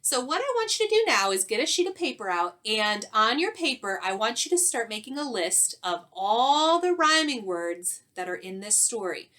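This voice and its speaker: A woman teacher reading a children's story aloud expressively, with dramatic pauses and questions to young listeners. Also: fairly high pitch, sing-song, pushed and bright.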